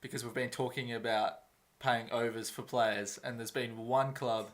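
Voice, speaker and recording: A man's voice: medium pitch, steady tone, moderate pace, fairly bright tone. A man co-hosting a sports podcast, easygoing and agreeable, with many fillers. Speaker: podcast co-host. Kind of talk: casual sports chat.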